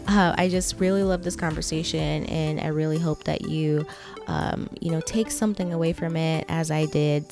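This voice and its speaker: A woman's voice: on the low side, a little mellow. A woman hosting a podcast, talking in a conversational style. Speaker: podcast host.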